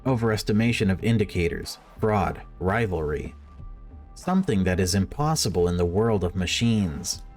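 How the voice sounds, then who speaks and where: A man plays a stern official of a machine cult in a horror visual novel. His voice sits fairly low, and he speaks formally, preaching and talking down to his listener over faint music. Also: expressive, moderate pace, a little mellow. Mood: cold condescension. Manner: sermonizing, condescending, formal. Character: stern male official preaching machine worship, condescending zealot. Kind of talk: dramatic visual novel read-aloud.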